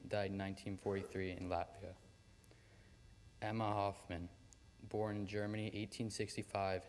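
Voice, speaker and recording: A young man with a low voice reads names at a memorial in a steady, nearly monotone cadence. He sounds set back in a large hall, with long reverb and a faint hum of ambience behind him. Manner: steady, even recitation. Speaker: student reader. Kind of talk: solemn reading of names.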